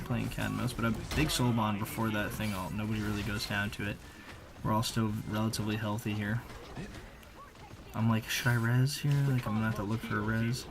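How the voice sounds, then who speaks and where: A man giving casual, slangy voice-over commentary on gameplay, conversational with animated reactions. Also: lowish voice, background noise. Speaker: gaming video creator.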